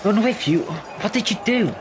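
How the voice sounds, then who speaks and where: A man's voice: high voice, animated, moderate pace. A man acting an ordinary, down-to-earth, grumbling bloke out on a noisy street in an audio drama. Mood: startled, helpful. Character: Craig, grumbling ordinary working man walking home, expectant father. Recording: noisy outdoor street ambience.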